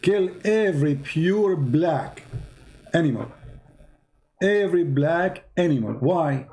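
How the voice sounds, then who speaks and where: Man, insistent, lecturing, repetitive, slightly high voice, mellow tone. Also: lively intonation, slow. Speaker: host. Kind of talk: heated call-in debate.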